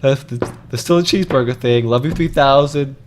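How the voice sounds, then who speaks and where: A man's voice: medium pitch, fairly quick, slight background noise. A man co-hosting a casual movie podcast, joking and teasing with exaggerated reactions. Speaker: podcast co-host. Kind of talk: casual movie review chat.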